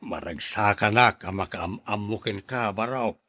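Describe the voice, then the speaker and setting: A man's voice: on the low side, unhurried, pushed and bright, no room sound. A man acting a protective middle-aged father who advises his daughter earnestly in an Ilocano radio drama. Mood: welcoming.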